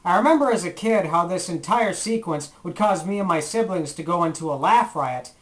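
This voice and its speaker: Bright, forceful man hosting a playful review. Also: high-pitched, animated, fairly quick, rough recording. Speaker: retrospective video host. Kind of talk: scripted review commentary with comic asides.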